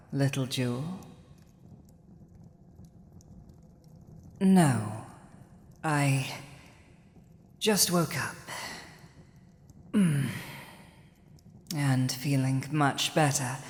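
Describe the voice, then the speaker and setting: A woman playing a villainous, protective, domineering dragon ruler in an ASMR roleplay drama, commanding and deliberate. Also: extremely low pitch, a little mellow, full character voice. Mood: relieved, concerned. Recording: echoey hall, long reverb.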